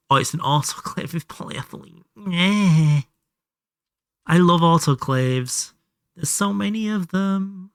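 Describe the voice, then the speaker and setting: A man's voice: on the high side, no echo, studio quality. A man doing casual live game commentary and chatting to viewers, sweary and self-deprecating. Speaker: live streamer playing a video game.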